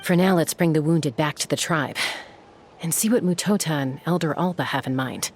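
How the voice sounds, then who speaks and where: Casting: a young woman warrior, calm and soft-spoken, slight fry, game score under her. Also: deep voice, faint music behind. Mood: reflective. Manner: soft, measured storytelling. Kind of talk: video game voice acting.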